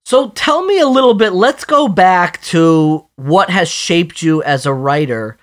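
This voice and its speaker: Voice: medium pitch, expressive, unhurried. Curious podcast interviewer. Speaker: podcast host. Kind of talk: interview questions.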